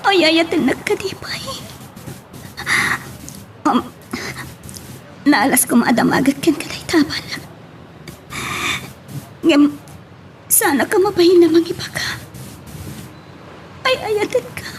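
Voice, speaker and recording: A woman's voice: very high-pitched, expressive, takes it slowly, pauses often, slight background noise, exaggerated voice. A woman acting a heartbroken young daughter in love in a plaintive radio romance drama. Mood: longing.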